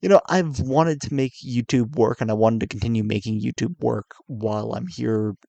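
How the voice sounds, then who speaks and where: A tired young man in his twenties, coming down with a cold, with a low raspy voice, talking hesitantly and candidly to his viewers. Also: moderate pace, dry recording. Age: 20s. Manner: hesitant, soft-spoken, many fillers and restarts. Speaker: YouTuber. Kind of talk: candid confessional vlog.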